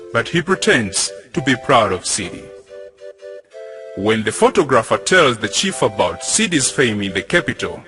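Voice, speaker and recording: A man's voice: mid-range voice, bright, forceful tone. A young man giving scripted narration that summarises a play. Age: young adult.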